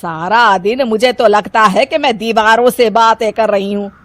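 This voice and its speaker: A woman playing a middle-aged, talkative Punjabi mother-in-law who scolds and complains theatrically in an animated story. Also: on the high side, normal speed, dry recording, natural voice. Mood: nagging. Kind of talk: animated Hindi story dialogue.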